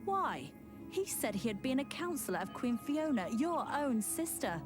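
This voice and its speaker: A woman's voice: sits high, big pitch swings, moderate pace. A woman playing a stern, wary young widowed queen in a video game cutscene, with a formal, imperious delivery. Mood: defensive. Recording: music behind the voice.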